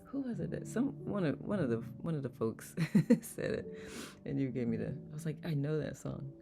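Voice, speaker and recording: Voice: medium pitch, animated, moderate pace, creaky. A meditation livestream host chatting casually, greeting viewers by name, reading their comments aloud and laughing easily. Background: soft guitar music.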